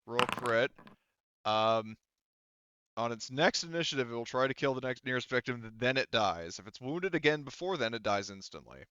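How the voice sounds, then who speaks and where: A man's voice: mid-range voice, lively intonation, normal speed, bright, forceful tone, smooth, dry recording, lo-fi sound. A man running a tabletop roleplaying game as game master, hesitantly thinking aloud as he works through the rules in a casual way.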